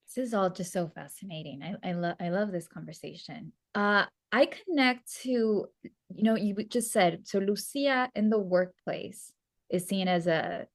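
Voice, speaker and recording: A woman's voice: mid-range voice, unhurried, no echo, clean studio sound. A woman raised between San Diego and Mexico, talking in a casual interview, earnest and reflective, often restarting her sentences. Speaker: actress guest.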